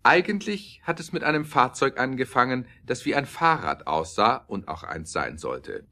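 A man narrating a scripted audio drama in a measured, emphatic storytelling manner. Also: medium pitch, big pitch swings, sharp tone.

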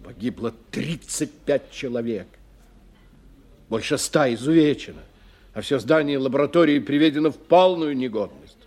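An actor in a radio play voicing a worldly, forthright engineer who talks expressively, with wry and probing curiosity. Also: male voice, medium pitch, normal speed, a little mellow, lightly acted. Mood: dramatic, grim. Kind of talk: 1990 Russian radio play dialogue.

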